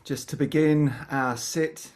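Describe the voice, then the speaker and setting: A meditation teacher leading a guided practice in a gentle, unhurried manner with long pauses between phrases. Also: medium pitch, fairly even intonation.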